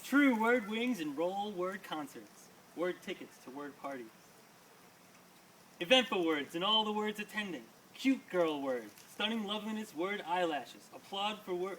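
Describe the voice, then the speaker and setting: Guy doing a poetry reading, punchy rhythm, sharp highish voice, picked up from far off in a noisy room. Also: animated, normal speed. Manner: emphatic, rhythmic delivery. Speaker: poet reading own poems to an audience. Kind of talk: live poetry reading with casual asides. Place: small live reading before an audience. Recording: distant mic, noisy live room.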